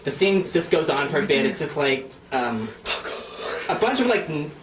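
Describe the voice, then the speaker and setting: Rambling young man, slightly high voice, roomy recording. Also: very animated, moderate pace. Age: 20s. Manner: rambling, self-mocking, chuckles while explaining. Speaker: host and scriptwriter presenting old scripts. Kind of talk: casual, giggly group chat. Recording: echoey room, distant mic, background noise.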